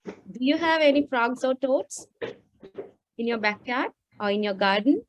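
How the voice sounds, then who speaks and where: A woman on a video call casually explaining animals to children, a little halting and checking in as she goes. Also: fairly high pitch, expressive, slight background noise. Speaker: guest explainer on wetland animals. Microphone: video call microphone.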